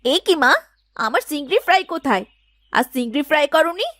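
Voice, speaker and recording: A woman's voice: high-pitched, expressive, fairly quick, fairly bright tone, dry recording. A woman voicing a greedy, whiny young daughter in a children's cartoon, petulant and full of exclamations. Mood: whiny demanding. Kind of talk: Bengali children's cartoon dubbing.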